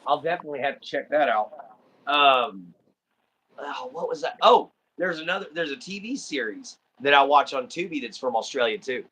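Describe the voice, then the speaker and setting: A man with a Texan drawl talks loosely to his viewers, wandering from thought to thought with plenty of ums. His voice has a bright, forward edge at an ordinary middle pitch. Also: animated, normal speed, breathy edge. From Southeast Texas. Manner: rambling, easygoing, many ums and uhs. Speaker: livestream host. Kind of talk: casual chat.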